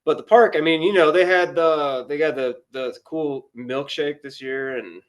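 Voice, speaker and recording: A man's voice: a little high, fairly even intonation, moderate pace. A man of about thirty-one chatting casually as a guest sports fan, with a rueful, self-deprecating humour. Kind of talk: casual chat.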